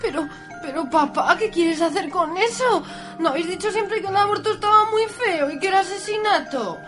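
Woman as young pregnant daughter, radio soap: extremely high voice, hesitant, pious, fearful. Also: expressive, moderate pace, music in the background. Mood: anxious, pleading. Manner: melodramatic, hesitant. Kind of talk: amateur comic radio soap acting.

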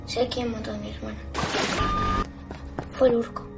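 A woman's voice: fairly high pitch, flat delivery, normal speed, gravelly. An actress playing a grieving, insistent woman in a dramatic thriller trailer. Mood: haunted, insistent. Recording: distant voice under loud eerie music.

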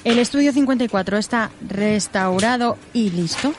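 A woman with an accent from Spain playing a brisk, practical team leader in a radio drama, colloquial and matter-of-fact. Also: a little high, a little hiss or hum, close to an everyday voice. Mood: businesslike.

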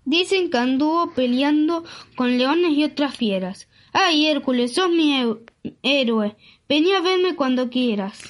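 A woman with an Argentine accent and a very high voice voicing a venomous, gleeful lake monster in a rhymed storybook reading. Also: animated, unhurried. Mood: smitten. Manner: reciting rhymed verse.

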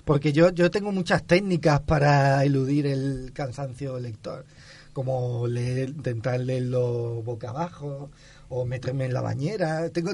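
A man in a book club discussion, talking hesitantly with halting restarts and a chuckling, anecdotal manner. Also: on the high side. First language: not Catalan. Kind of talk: casual discussion.

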